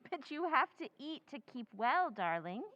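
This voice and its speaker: A woman's voice: high-pitched, sing-song, moderate pace, no echo. A woman playing a doting, clingy young wife who speaks adoringly and is eager to please, in an old-time radio drama recreation. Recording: dry, dull lo-fi sound.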